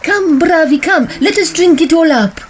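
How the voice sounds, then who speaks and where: A woman's voice: extremely high pitch, animated, normal speed, slight background noise. A woman playing a vivacious, grand Victorian opera singer in a comic audio drama, exuberant and theatrical. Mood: excited, playful. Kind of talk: period comedy audio drama acting. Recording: busy crowd chatter behind. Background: crowd.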